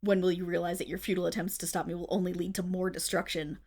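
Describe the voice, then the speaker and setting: A woman's voice: lowish voice, level tone, fast, darkish tone. A woman playing a theatrical but bashful, lovestruck female supervillain in an ASMR roleplay audio drama. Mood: grand, menacing. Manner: theatrical villain declaiming that flips into flustered, affectionate chatter.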